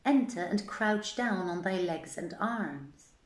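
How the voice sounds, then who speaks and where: A woman voicing a wily male carpenter with measured, formal, archaic speech in a folk tale reading. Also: medium pitch, lively intonation. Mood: coaxing. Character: wily male carpenter, outwardly humble, secretly vengeful. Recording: echoey, roomy sound.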